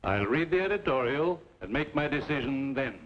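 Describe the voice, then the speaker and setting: A man's voice: medium pitch, animated, normal speed, lightly acted. Man playing a stern, formal frontier businessman. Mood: coolly threatening. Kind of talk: old-time western radio drama acting. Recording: muffled, noisy old radio recording.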